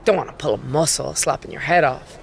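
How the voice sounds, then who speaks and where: A woman's voice: deep voice, brisk, vocal fry, a little hiss or hum. An actress playing a brash, cocky woman wrestler in a video game, taunting and swaggering. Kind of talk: scripted video game cutscene acting.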